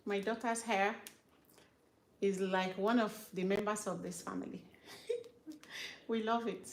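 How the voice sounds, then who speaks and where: A woman's voice: medium pitch, fairly even intonation, slow, slight background noise. A middle-aged woman with a Nigerian accent, chatting casually to viewers as she does her daughter's hair at home, laughing often. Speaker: hair care vlogger and mother. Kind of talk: casual tutorial chat to viewers.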